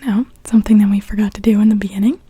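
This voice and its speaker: A young woman whispering gently and without hurry in an ASMR witch roleplay, speaking directly to the listener. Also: medium pitch, steady tone, very fast, slightly rough, no room sound. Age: young adult. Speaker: ASMR performer.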